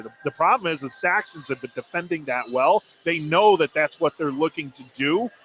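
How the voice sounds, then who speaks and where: A man's voice: fairly high pitch, a bit bright, poor audio. An American man giving conversational color commentary and analysis during a basketball radio broadcast.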